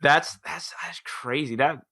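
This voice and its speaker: A man's voice: a little high, measured pace, vocal fry, pushed and bright. A man co-hosting a gaming podcast, talking casually in an informal, slangy, emphatic way. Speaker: podcast co-host. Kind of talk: casual chat.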